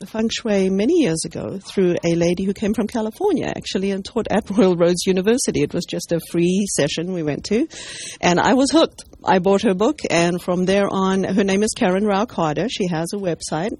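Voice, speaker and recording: A woman's voice: mid-range voice, brisk, few pauses, darkish tone, husky. A woman with a Zimbabwean accent, a radio guest talking cheerfully and laughing often in a casual chat. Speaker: guest, feng shui entrepreneur.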